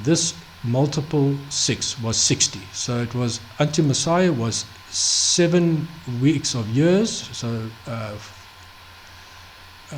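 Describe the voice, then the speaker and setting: A man teaching a Bible study off the cuff, halting, full of restarts, fillers and "okay?" check-ins. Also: medium pitch, darkish tone, slight background noise. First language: not Hebrew. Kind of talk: unscripted screen-share Bible study.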